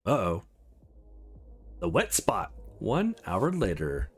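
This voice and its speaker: A man's voice: fairly low pitch, huge pitch swings, slow pace, gravelly, slightly rough, faint music behind. A man reading a visual novel aloud as a let's play host, dropping in casual asides between the lines.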